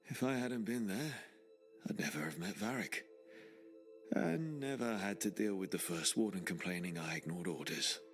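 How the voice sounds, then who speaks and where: An actor playing a reflective, earnest young male adventurer in a video game cutscene, musing aloud with quiet determination. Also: low voice, expressive, normal speed, soft background music.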